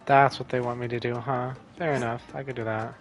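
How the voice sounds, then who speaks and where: A man's voice: medium pitch, little pitch movement, a little fast. A man giving casual gameplay commentary, muttering to himself in a laid-back way. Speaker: gamer playing and commenting. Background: game music.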